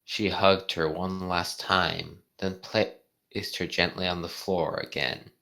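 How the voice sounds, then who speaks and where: A person reading fan fiction aloud and chatting about it casually, often halting and stumbling over words. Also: low voice, fairly even intonation, creaky, a bit bright. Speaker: fan fiction reader and co-host. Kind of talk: casual commentary and read-aloud.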